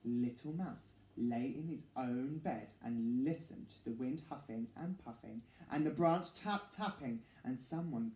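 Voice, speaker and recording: Male children's story reader: high-pitched for a man, slow, theatrical, dramatic pauses, echoey room. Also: dark tone. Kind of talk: expressive picture-book narration.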